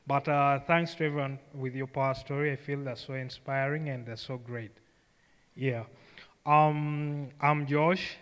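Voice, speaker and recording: A man's voice: medium pitch, steady tone, measured pace, fairly bright tone, smooth. A young man with a Ugandan accent giving an informal personal speech to an audience, telling his story conversationally. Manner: conversational storytelling with frequent "you know" and "like". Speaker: programme graduate giving a speech. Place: event hall, live on stage. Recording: reverberant hall sound.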